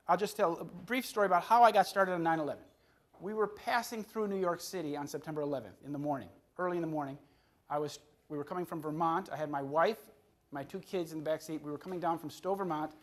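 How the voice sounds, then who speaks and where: A man in his fifties from the Chicago area, an author giving a public talk to an audience, often repeating words and restarting his phrases. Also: sits high. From Chicago area, USA. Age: 50s. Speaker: author on a book tour.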